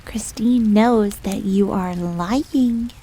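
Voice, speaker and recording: A woman's voice: mid-range voice, very slow, mellow, slightly rough, poor audio. A woman voicing a jealous, easily flustered young fox maid in a roleplay audio drama, speaking formally and referring to herself in the third person, with rain behind her. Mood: suspicious, accusing. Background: rain on a surface.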